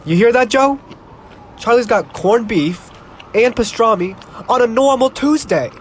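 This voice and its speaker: A man's voice: extremely high pitch, lively intonation, unhurried. A man acting an eager, easily impressed construction worker on stage, chatting curiously and bantering with his pals. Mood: amazed. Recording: outdoor recording with background noise, sirens.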